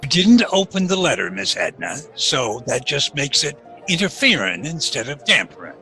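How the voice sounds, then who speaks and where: An actor playing an earnest, lovestruck Old West deputy with folksy, deferential manners in a radio western. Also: male voice, a little high, sing-song, normal speed, pushed and bright, rough recording. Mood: earnest, dutiful. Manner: folksy, deferential, formal address. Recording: music and crowd murmur behind.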